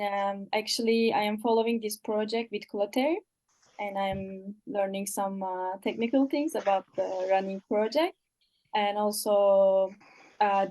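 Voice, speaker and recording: A woman's voice: medium pitch, a little mellow, low-quality recording. A young woman introducing herself as a volunteer on an online webinar, hesitant and full of fillers. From Turkey. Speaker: volunteer participant.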